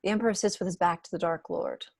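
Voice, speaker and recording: Female narrator for script table read, slightly low pitch, dry sound, reads scene directions. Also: fairly even intonation, quick pace, gravelly, dry recording. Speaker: narrator reading stage directions. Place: indoor room at home, video call.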